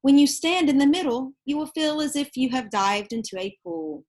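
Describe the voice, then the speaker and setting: A woman's voice: high voice, normal speed, gravelly, a little mellow, pitched above the performer's own voice. A woman reading the part of a kindly, welcoming old man, a painter and gardener, in a children's storybook. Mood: proud.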